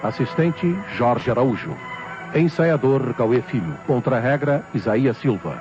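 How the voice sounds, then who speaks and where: A man's voice: medium pitch, animated. Formal Brazilian male radio announcer over music. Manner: formal, emphatic, measured. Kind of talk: old-time radio credits announcement, scripted. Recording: dull vintage radio recording, music behind.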